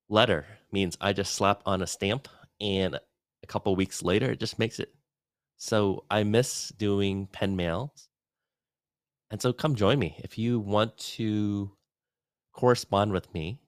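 A man's voice: fairly low pitch, dry recording. A man hosting his own podcast, recording a casual, rambling, upbeat update alone at his desk on a studio mic in a home office. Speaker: podcast host giving an update. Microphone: studio dynamic mic at desk.

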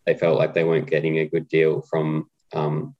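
Very deep-voiced man, flat delivery. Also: moderate pace, dull audio. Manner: relaxed, hesitant with many ums. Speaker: guest, co-op policy adviser. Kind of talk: casual interview chat.

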